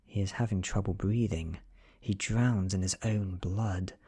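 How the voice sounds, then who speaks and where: A man with a British accent giving a soft-spoken, near-whispered ASMR reading of a horror story, close to the microphone. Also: deep voice, fairly even intonation, unhurried, husky. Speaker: narrator.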